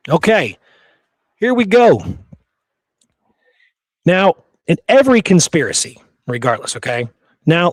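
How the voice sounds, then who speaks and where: Higher-voiced man telling history, close dry sound. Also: dry recording. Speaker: history show host. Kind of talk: conversational storytelling from researched notes.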